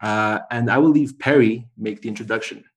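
A man's voice: on the low side, brisk. A man hosting a casual sports podcast, opening the episode and bantering about football. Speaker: podcast host.

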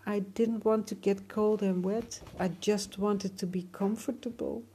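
A young boy stranded in a boat, his voice lifted high and pushed loud as he pleads and demands, as a storyteller puts him on. The tone stays soft and airy underneath. It's a storybook reading. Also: takes it slowly, slightly rough. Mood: pleading.

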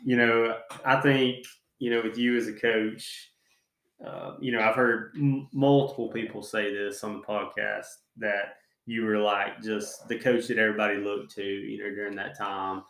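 Young southwest virginia guy hosting a podcast, kinda low voice, rambles thru questions, echoey room. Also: fairly even intonation, moderate pace, fairly bright tone. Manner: chatty, rambling questions with fillers. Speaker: podcast host. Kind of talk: casual podcast interview.

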